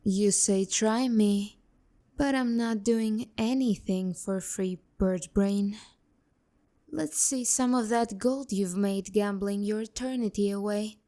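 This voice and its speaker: Casting: actress as a sharp-tongued female demon courtesan for ASMR roleplay; mellow tone, unhurried delivery, haughty and seductively teasing. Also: medium pitch, close to an everyday voice. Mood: taunting, smug. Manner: condescending, teasing, biting insults. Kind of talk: romantic ASMR roleplay, addressing the listener.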